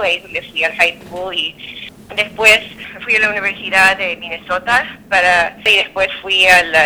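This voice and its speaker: A very bright, forceful woman in her thirties from Peru, answering an interviewer's questions as a judge. She speaks in a halting way, full of fillers and self-corrections. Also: on the low side, slight background noise, rough recording.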